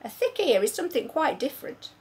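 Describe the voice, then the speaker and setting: A woman's voice: high voice, very animated, fast, a little mellow, pitched above the performer's own voice. A woman voicing a polite, curious little girl who speaks earnestly. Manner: earnest, well-mannered.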